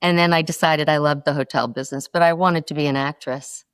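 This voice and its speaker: A middle-aged woman from North Carolina, a podcast guest answering interview questions in quick, expressive stories. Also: deep voice, clear, resonant tone, no echo.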